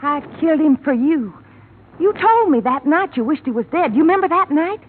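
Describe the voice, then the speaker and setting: A desperate, obsessive young country woman speaks high and intensely in an old American radio drama. Her pleading, rural speech comes through a tinny recording with some noise. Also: animated, fairly quick. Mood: fevered confession. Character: desperate, obsessive young hired girl confessing murder. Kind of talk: 1950s radio drama acting.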